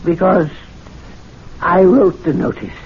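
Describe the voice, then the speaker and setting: Nervous old club servant, high-voiced. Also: male voice, animated, takes it slowly. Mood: quietly insistent. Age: older. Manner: hesitant, deferential, protesting. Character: nervous, stubborn elderly club servant, indignant and deferential. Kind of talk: 1970s radio drama acting. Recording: dull, noisy old radio recording.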